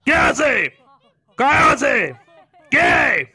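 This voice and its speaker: A ruthless bandit chief for a horror cartoon, piercingly sharp and full of gravel. He pitches his gruff roar high and taunts like a boastful commander, with music faintly behind. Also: very animated, very slow, exaggerated voice. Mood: roaring challenge. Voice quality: gruff, rough voice.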